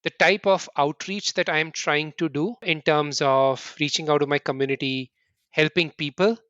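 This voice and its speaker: A middle-aged man from India, answering interview questions earnestly in quick bursts and often restarting with "right?". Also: on the high side, fairly even intonation, fairly bright tone, smooth, no echo, lo-fi sound. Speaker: podcast guest.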